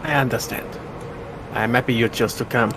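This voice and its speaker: A man playing an intense, devout believer who speaks in a measured, earnest way in an amateur visual novel drama. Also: mid-range voice, fairly even intonation, noisy background, close to an everyday voice. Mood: earnest, persuasive. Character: Benoit, intense devout man urging faith.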